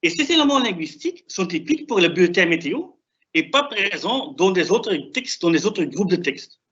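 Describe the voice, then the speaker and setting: A young man who is not a native French speaker, with a non-native accent, giving a halting, didactic academic presentation over a video call. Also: sits high, big pitch swings, fairly bright tone. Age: young adult. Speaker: doctoral researcher presenting. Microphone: video call, presenting remotely. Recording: dull, band-limited online call audio.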